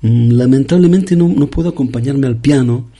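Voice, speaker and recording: A man's voice: mid-range voice, normal speed, dark tone, slightly rough. A man voicing a pompous, absurd aging bolero crooner who is a guest on an interview show, in a comedy sketch. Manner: grandiose, addresses host as young man.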